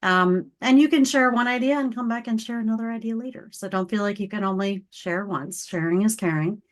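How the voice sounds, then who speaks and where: A woman's voice: fairly high pitch, a little fast. A woman hosting a weekly online business meeting, upbeat and organized as she explains how it runs. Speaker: meeting host. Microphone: video call.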